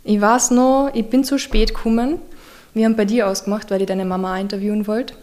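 A woman, hosting a podcast in relaxed interview chat, talkative and quick to laugh. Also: mid-range voice. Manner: chatty, laughs often. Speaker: podcast host.

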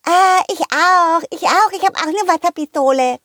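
A woman voicing excited little fruit and vegetable schoolchildren, eager, curious and childlike. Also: very high-pitched, moderate pace, dry recording, studio quality, pitched above the performer's own voice.

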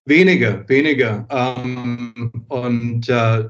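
A man raised in Germany and born in England speaks as an interview guest in a medium-pitched voice. He feels his way through answers, hesitating and repeating words. The sound is a little dull. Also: fairly even intonation, faint music behind. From born in England, grew up in Wiesbaden, Germany. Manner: hesitant, pausing and repeating words while thinking. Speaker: podcast guest.

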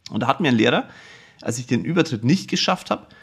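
A man's voice: mid-range voice, lively intonation, fast talker, creaky, fairly bright tone, husky. A man, hosting his own podcast and telling his life story in a casual, frank, self-deprecating way from his office. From Kulmbach, Upper Franconia, Germany. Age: 40s. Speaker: podcast host. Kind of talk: casual personal storytelling monologue.

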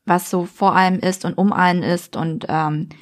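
A woman's voice: lowish voice, fairly even intonation, moderate pace. A woman speaking hesitantly in a casual podcast conversation, searching for words about her relationships. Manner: searching, hesitant, many fillers.

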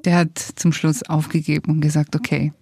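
A woman's voice: low-pitched, flat delivery, a little fast, dark tone, slightly rough, dry recording. Female podcast host, dry close sound. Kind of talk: conversational interviewing.

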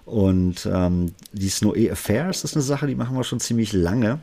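A man's voice: lowish voice, normal speed, dark tone. A man with a Berlin accent, a music producer chatting casually in an interview, rambling with many fillers.

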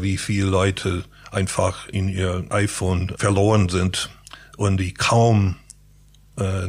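Male interview guest, English native with accent in German, unhurried, reflective, slightly deep voice. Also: husky. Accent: English-speaking accent in German. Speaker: interview guest, pastor and painter.

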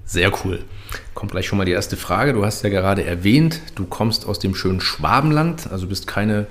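Upbeat male talk show host. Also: on the low side. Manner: upbeat, laughs often, fast asides. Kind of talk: hosting an interview.

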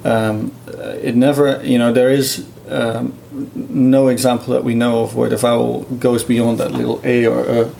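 A man whose native language is Dutch, a linguist researcher explaining his work in a thoughtful, relaxed conversation, with lots of "you know.". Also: medium pitch, normal speed, vocal fry, hardly any pauses, slight background noise.

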